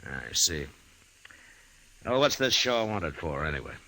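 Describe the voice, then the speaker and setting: An actor playing a steady, laconic frontier marshal with dry, skeptical understatement, in the style of an old-time radio western. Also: male voice, low-pitched, unhurried, heavily acted. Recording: hissy old radio recording.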